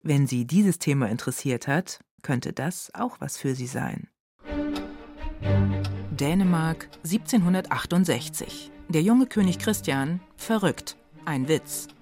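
A woman hosting radio with a scripted presentation, speaking pointedly with deliberate dramatic pauses. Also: deep voice, animated. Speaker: radio host. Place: radio studio. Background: music.

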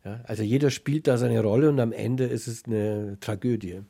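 A male radio interviewer talks analytically at a medium pitch. His voice is husky, with plenty of creak. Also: steady tone, normal speed, dark tone. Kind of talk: analytical interview talk and scripted sign-off.

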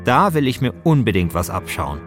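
A man's voice: mid-range voice, sing-song, moderate pace. A man reading scripted narration to introduce audio clips. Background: music.

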